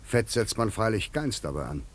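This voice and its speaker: A man's voice: fairly low pitch, big pitch swings, normal speed. An actor playing a shivering, naked castaway in a German radio play, ironic and eloquent as he politely pushes his way in. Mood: wry, breathless. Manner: wry, eloquent, politely pushy. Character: shivering naked castaway man, ironic, insistent, uninvited guest.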